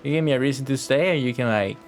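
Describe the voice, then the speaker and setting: A man's voice: mid-range voice, very fast, faint music behind. A young man giving casual, slangy live commentary while streaming a video game, muttering asides to the game.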